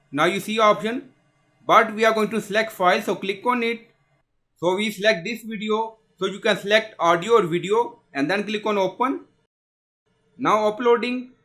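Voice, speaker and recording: Male tutorial presenter, high voice with a bright, forward edge, giving simple step-by-step software instructions. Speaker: tutorial host.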